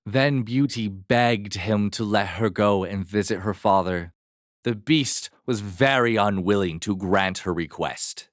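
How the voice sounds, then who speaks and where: A man narrating a fairy tale as a storyteller, in a measured, expressive manner. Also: fairly low pitch, no room sound, clean studio sound.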